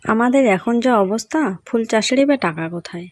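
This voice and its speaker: A woman's voice: fairly high pitch, animated, brisk. A woman voicing a poor, loving village mother in a cartoon story, humble and plaintive in manner. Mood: worried. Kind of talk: Bengali cartoon story voice acting.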